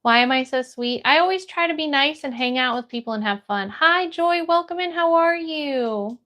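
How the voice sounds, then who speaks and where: American girl streamer, woman w really high voice, friendly and casual, talking to chat. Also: moderate pace, pushed and bright. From United States. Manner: friendly, encouraging, greets people by name. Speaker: livestream host. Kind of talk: casual livestream chat, greeting viewers and reading comments aloud.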